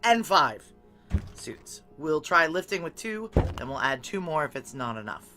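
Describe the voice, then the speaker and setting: An actress playing a tough, middle-aged security chief in an audio drama, brisk, clipped and dryly blunt. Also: female voice, low voice, big pitch swings. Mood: brisk, commanding. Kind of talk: noir audio drama acting. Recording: music bed under voice.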